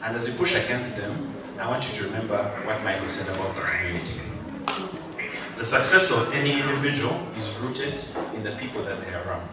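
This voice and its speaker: Man, guest speaker, rousing, joking, call-and-response, distant mic, booming hall echo. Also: fairly quick. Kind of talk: motivational graduation speech. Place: large hall at a ceremony. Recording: echoey hall, mic far off, background noise.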